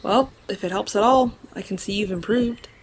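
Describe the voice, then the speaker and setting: A woman voicing a loyal, gentle talking dog in an audio drama, calm and reassuring with a husky voice. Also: medium pitch, normal speed, natural voice.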